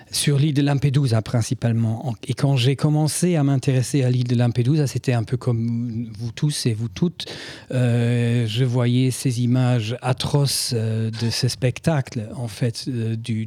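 A man speaking French with a foreign accent, not his first language, giving a halting talk as a guest anthropologist with many fillers. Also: mid-range voice, dark tone, no room sound. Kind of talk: talk at a public book event.

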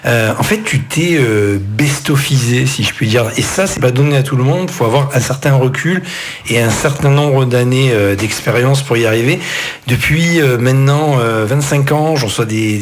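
A middle-aged man hosts a radio interview in an even, mid-range voice. He speaks in long, winding sentences full of fillers. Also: mid-range voice, normal speed, a little hiss or hum. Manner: wordy, with frequent fillers. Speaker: radio host.

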